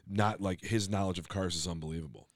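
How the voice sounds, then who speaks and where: A man guesting on a podcast, a comedian telling rambling stories with a loud voice and lots of restarts. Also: sits low, fairly even intonation, fast talker, no room sound, clean studio sound. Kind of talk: casual interview chat.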